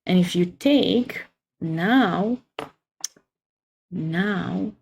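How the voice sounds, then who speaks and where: A woman's voice: lowish voice, drawn-out words, creaky, husky, low-quality recording. Playful woman with a Romanian accent. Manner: playful, expressive, chatty with viewers. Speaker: chess livestream host and commentator. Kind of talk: casual chat with live chess commentary.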